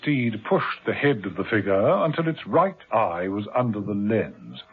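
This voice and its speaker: A man narrating a radio drama in a measured, vivid storytelling manner. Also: lowish voice, big pitch swings, breathy edge. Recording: tinny old radio broadcast.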